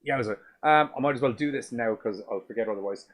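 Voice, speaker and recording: Male podcast co-host, deep voice, loose rambling delivery with casual swearing. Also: fast talker. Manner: sweary, rambling, restarts often. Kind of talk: casual movie review chat.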